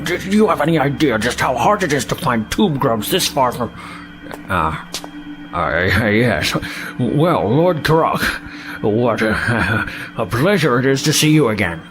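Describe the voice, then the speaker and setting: A man's voice: mid-range voice, sing-song, a little slow, music in the background, low-quality recording. A man playing a flustered, weaselly alien trader who stammers and blusters in a sci-fi audio drama. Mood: indignant, then nervous flattery.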